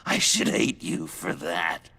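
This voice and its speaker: Unhinged heartbroken video game villain, raspy and breathy, pitch all over the place, talks in slow halting bursts. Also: male voice, wildly swooping pitch, sharp tone, exaggerated voice. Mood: wounded, pleading. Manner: halting, theatrical, breaking into laughter. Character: unhinged, lovesick male villain, wounded and bitter.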